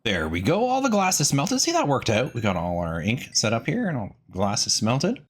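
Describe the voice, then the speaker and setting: A man's voice: mid-range voice, huge pitch swings, moderate pace. A man giving casual, chatty gameplay commentary, rambling in asides to viewers as a video host.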